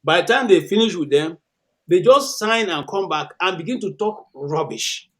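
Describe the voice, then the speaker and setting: A man on a heated panel, arguing in animated bursts of repeated questions. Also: sits high. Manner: animated, rapid-fire questions. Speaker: panel guest.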